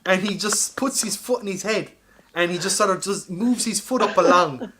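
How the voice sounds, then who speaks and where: A man's voice: high voice, normal speed, pushed and bright, slight background noise. An Irish man co-hosting a podcast, telling film stories in a casual, informal and sweary way. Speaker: podcast co-host. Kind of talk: casual film chat, animated storytelling.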